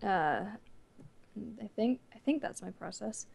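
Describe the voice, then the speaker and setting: A nineteen-year-old woman chatting casually as an interview guest, with a giggly, animated way of telling stories. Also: medium pitch, unhurried, gravelly. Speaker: guest, amateur voice actor.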